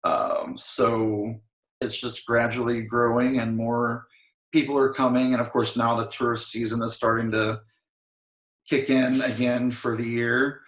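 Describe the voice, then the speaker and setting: A man being interviewed, chatting casually and a little hoarse, filling his talk with "I mean" and restarts. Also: medium pitch, level tone, measured pace, fairly bright tone, echoey room, rough recording. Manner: rambling, frequent "I mean" fillers. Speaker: interview guest, singer.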